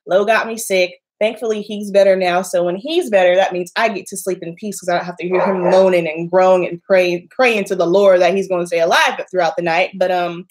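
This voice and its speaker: A woman from the American South, a makeup artist chatting casually on a livestream, a little congested and nasal, emphatic and quick to laugh. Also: on the low side, steady tone.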